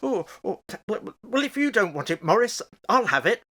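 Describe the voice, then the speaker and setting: A man's voice: high-pitched, sing-song, moderate pace, sharp tone, heavily acted. A man acting in a radio play as a genial, curious, stubborn father with a hesitant, good-humoured manner.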